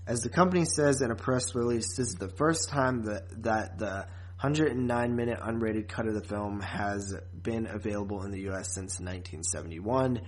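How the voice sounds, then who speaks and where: A man co-hosting a podcast in a casual, chatty way, often pausing and repeating words as he thinks. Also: fairly low pitch, poor audio. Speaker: podcast co-host.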